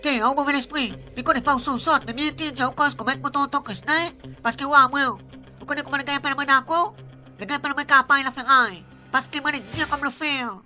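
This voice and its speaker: A woman's voice: high voice, quick pace, bright, forceful tone, faint music behind. A woman playing a boastful but cowardly young street tough with a Réunion Creole accent in a comic radio serial. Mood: cocky bragging. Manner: cocky, slangy, rambling.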